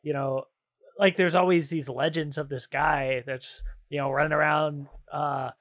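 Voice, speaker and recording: A man's voice: a little high, fairly even intonation, a little slow, fairly bright tone, no room sound, studio quality. A man chatting casually and enthusiastically as a podcast co-host, in informal slangy phrasing. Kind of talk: casual chat about games and films.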